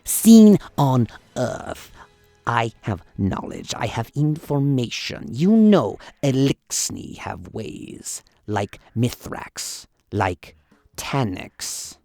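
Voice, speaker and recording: A man voicing an earnest, humble alien warden in a radio play, speaking in halting, clipped, broken phrases. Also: mid-range voice, big pitch swings, gravelly, warm tone, dry recording, faint music behind, full character voice. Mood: insistent. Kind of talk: radio play character acting.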